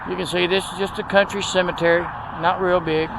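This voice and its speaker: Texan male host, gravelly and slightly high, folksy tour talk, wind and traffic noise behind. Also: flat delivery, fairly quick. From Texas. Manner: folksy, easygoing, with frequent fillers. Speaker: video host. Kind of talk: casual walking tour narration. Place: outdoors at a rural roadside cemetery, windy. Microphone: camera on a handheld tripod.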